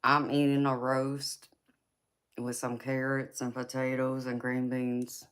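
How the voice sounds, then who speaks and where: A woman's voice: rumbling low, completely flat delivery, takes it slowly, fairly bright tone, clear, resonant tone. A woman with a Southern US accent, hosting a casual livestream and chatting with viewers, laughing often and calling them baby. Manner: laughs often, answers chat by name, calls viewers "baby". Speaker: tarot reader and livestream host. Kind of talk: casual livestream chat reading viewer comments.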